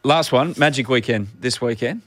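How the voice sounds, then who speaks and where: A man's voice: medium pitch, normal speed, a bit bright, no room sound. An Australian man hosting a podcast in an easygoing way, asking questions and laughing often. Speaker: host.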